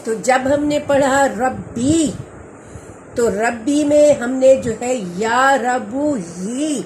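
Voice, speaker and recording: Female lecturer, slightly high voice, unhurried and patient, repeats words as she explains, faint background noise. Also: a little mellow, rough recording. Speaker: teacher. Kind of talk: slow Quranic grammar lecture.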